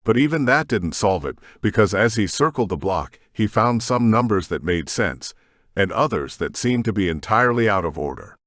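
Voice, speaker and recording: Male narrator: low, lively pitch, measured, close dry mic. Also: dry recording. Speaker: audiobook narrator. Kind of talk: scripted narration.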